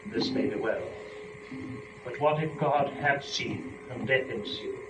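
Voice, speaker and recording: A man performing as a young, scheming, rapturous first woman in a measured, theatrical dramatic verse reading. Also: mid-range voice, over loud music, natural voice. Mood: fearful, resolved. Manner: measured, theatrical, deliberate phrasing. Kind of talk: dramatic verse recitation of epic poem. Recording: noisy, dull, echoey room from a distance.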